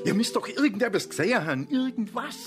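An actor playing a grumbling provincial police inspector in a radio play, colloquial and sardonic. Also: male voice, very high voice, very animated, fast, music in the background. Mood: probing, exasperated. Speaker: actor in radio crime drama.

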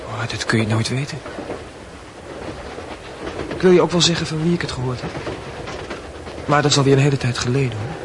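A man playing a touchy, lovesick secondary-school student in a radio drama, speaking haltingly and repeating words. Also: medium pitch, natural voice. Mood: probing, evasive. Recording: noisy radio drama recording.

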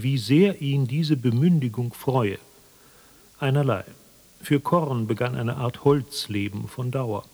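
A man narrating a literary radio drama in a measured, formal, dryly ironic manner, with a slightly husky voice. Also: mid-range voice, darkish tone. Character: detached, wry literary narrator of an absurd tale.

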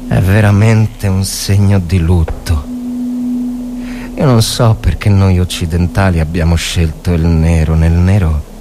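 An actor playing a bitter, anguished Italian officer of the First World War in a dramatic monologue, halting and repeating words. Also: male voice, sits low, background noise. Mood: bleak, brooding. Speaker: actor reading a short story. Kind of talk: dramatic literary monologue, radio reading.

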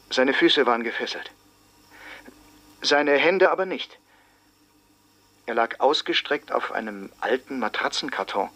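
An actor playing a shaken small-town witness in a radio drama, speaking haltingly in short broken phrases. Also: male voice, mid-range voice, sharp tone.

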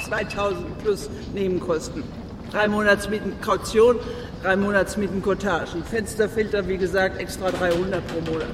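An actor playing a businesslike letting agent in a radio drama, reeling off sales patter unmoved. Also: male voice, sits high, steady tone, normal speed, lightly acted. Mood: matter-of-fact. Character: businesslike, matter-of-fact male apartment letting agent. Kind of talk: German radio drama acting. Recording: distant, reverberant hall, loud city noise.

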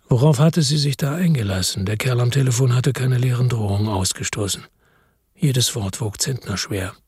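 A middle-aged, world-weary ex-cop narrating a radio play in a laconic, reflective way. His voice is dark and a little husky, recorded dry and close. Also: medium pitch, no room sound. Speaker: first-person narrator and lead.

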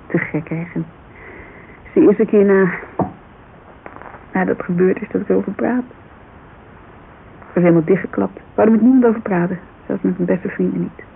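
An actress playing a grieving, self-reproachful woman who confides haltingly in a naturalistic radio drama. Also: on the low side, gravelly. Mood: subdued. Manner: hesitant, colloquial, confessional. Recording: muffled, hissy old radio recording.

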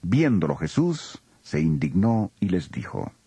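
A man narrating a dramatized scripture reading as an expressive storyteller. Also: deep voice, a little slow.

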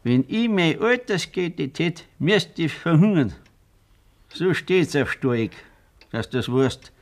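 A man's voice: fairly high pitch, expressive, slow. An actor playing a stern, blunt old farmer father with a Bavarian accent in a German radio play. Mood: gruff, scolding. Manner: scolding, blunt, rustic.

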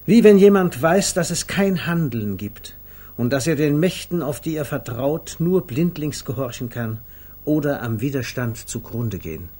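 An actor narrating a 1950s German radio play in a dry, literary, wry manner, with clipped dramatic announcements. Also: male voice, medium pitch, expressive, moderate pace, mellow, husky. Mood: heightened, mock-dramatic. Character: wry male narrator reporting events hour by hour. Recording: 1950s radio studio recording.

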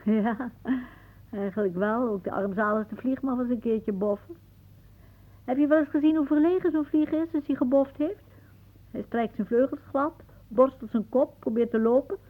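Radio drama, thin boxed-in audio: woman performer as an aphorising older lady, dry-witted and quick to irritation. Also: on the high side, natural voice. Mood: musing, wry. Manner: musing, dryly aphoristic. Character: wry, philosophising older woman, easily irritated. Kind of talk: radio play acting. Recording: muffled, phone-like old radio recording.

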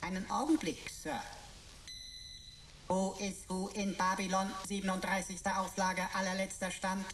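A woman's voice: mid-range voice, flat delivery, moderate pace, a little hiss or hum, lightly acted. A woman playing a cheeky talking pocket computer in a German radio play, flowery, ironic and mock-formal. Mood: officious, flowery.

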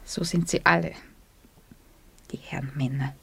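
A woman acting in a radio play as a wounded, bitter woman, speaking in a hushed, husky, halting voice. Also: low-pitched, fairly even intonation, exaggerated voice. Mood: hurt, bitter. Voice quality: husky, breathy. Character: wounded, bitter woman standing up to her man.

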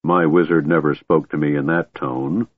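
A man voicing a decrepit old golden dragon in a fantasy audiobook, fierce and befuddled, deep and booming, with grandiose roaring delivery. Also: steady tone, moderate pace, narrow, tinny audio. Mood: doubtful, stern.

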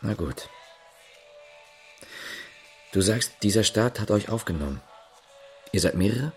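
A man's voice: on the low side, expressive, unhurried, darkish tone, faint music behind. An actor playing a sceptical, terse man who probes with questions in a naturalistic radio play. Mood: probing. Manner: probing, terse. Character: sceptical, probing East German man loyal to his state.

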